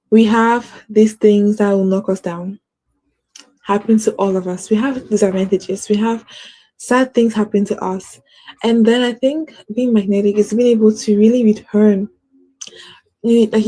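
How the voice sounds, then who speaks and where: Mellow-voiced woman host who stutters. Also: mid-range voice, rough recording. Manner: stutters, uses many fillers; earnest, encouraging. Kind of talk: casual motivational talk.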